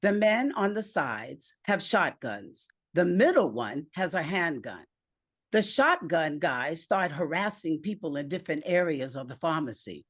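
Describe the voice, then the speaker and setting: A woman narrating a screenplay aloud with deliberate, measured phrasing. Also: sits low, sing-song, dry recording, studio quality.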